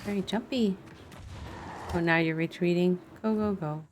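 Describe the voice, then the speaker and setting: A woman's voice: fairly low pitch, normal speed, a little hiss or hum. A woman doing casual live gameplay commentary, muttering wry asides as she plays. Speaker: game streamer. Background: game music.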